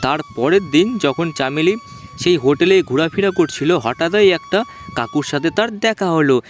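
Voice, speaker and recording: Higher-pitched male ghost-story narrator. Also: expressive, normal speed. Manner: repetitive, simple spoken storytelling. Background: background music.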